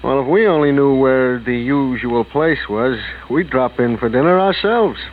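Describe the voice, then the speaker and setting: A man's voice: medium pitch, moderate pace, a little hiss or hum, close to an everyday voice. Man playing a tinny-sounding wisecracking detective's sidekick. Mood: wry. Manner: wisecracking, grumbling. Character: wry, easygoing male sidekick of a detective. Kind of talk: 1940s radio drama acting. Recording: tinny, band-limited old radio recording.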